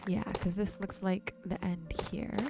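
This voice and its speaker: A woman doing casual, soft-spoken ASMR commentary while playing a game, murmuring to viewers in an unhurried way. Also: lowish voice, fairly even intonation, gravelly. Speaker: video creator and host. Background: music.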